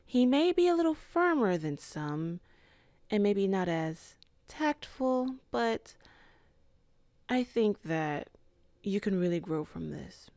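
A woman voicing a calm, composed female boss in an ASMR office roleplay, speaking in a measured, softly flirtatious way. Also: mid-range voice, dry recording. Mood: teasing.

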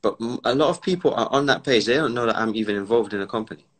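A man's voice: mid-range voice, very fast. A man from Barbados, raised in the UK, talking emphatically in a casual live video chat, lecturing at length and acting out quoted voices on a phone at home. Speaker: entrepreneur and commentator. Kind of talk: casual live-stream conversation. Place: indoors at home. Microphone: phone on a live video call.

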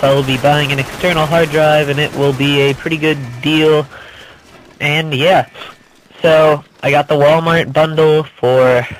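A man's voice: a little high, a bit bright, a little hiss or hum, low-quality recording. A young man, congested from a cold, chatting casually as he talks over his gameplay. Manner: chatty, rambling, with fillers. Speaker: gaming channel host.

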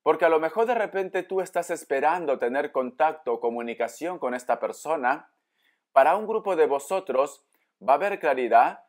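A man giving an informal tarot reading straight to viewers, explaining as he goes and answering his own questions. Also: on the high side. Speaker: tarot reader, host. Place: indoor room with books at a table.

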